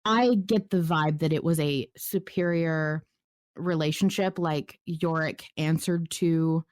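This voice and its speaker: A woman with a somewhat low-set voice hosts a casual fan chat. She is effusive and slangy and often steps aside from her own point. The sound is dry, with no room on it. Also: slow, darkish tone, dry recording. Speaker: podcast host.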